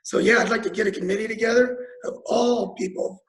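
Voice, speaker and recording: A man's voice: high-pitched, fairly even intonation, a little fast, fairly bright tone. A man whose first language is Italian, answering as a mayoral candidate at a town forum, telling a personal story. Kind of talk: forum answer over video call. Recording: echoey room on a video call.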